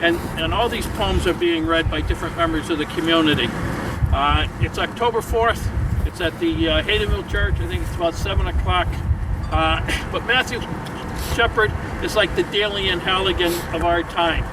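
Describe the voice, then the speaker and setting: A man's voice: sits high, normal speed. A man giving an earnest public speech outdoors at a memorial ceremony, repeating words as he builds each point. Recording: distant outdoor recording, heavy noise.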